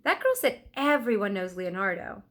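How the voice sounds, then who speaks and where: A woman's voice: mid-range voice, very animated, normal speed, a bit bright. A woman reading a children's storybook, voicing a confident, eager young girl adventurer in an upbeat, emphatic way. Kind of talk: children's storybook read aloud.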